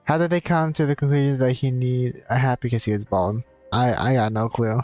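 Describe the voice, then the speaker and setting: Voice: medium pitch, steady tone, brisk, a little mellow. A streamer chats casually while playing, in a mid-range voice. The delivery is musing and question-filled, with light game music behind it. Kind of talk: casual live gameplay commentary.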